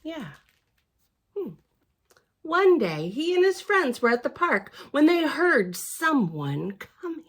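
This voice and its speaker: A woman reading a picture book aloud to young children as a library storyteller, in a theatrical, expressive manner with chatty asides. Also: high-pitched, slow pace.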